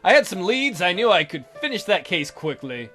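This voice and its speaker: A man plays through a game, reading aloud and commenting casually. His voice is fairly high and very lively in pitch, with a sarcastic, joking way of talking. Faint music plays behind him. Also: normal speed. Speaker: let's player.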